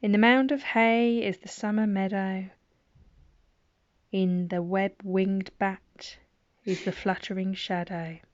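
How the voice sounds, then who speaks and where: A woman's voice: medium pitch, fairly even intonation, takes it slowly, lo-fi sound. A middle-aged woman reading children's poems aloud at bedtime, with playful, chatty asides between the poems.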